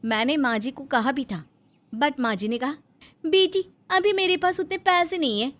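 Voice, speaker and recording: Pampered young daughter-in-law played by a woman, very high voice, sugary and placating, cartoon family melodrama. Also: normal speed, a bit bright. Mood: sweetly complaining. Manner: coaxing, wheedling, meekly placating. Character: sweet-talking young daughter-in-law, pampered rich wife. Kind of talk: melodramatic Hindi moral-story cartoon acting.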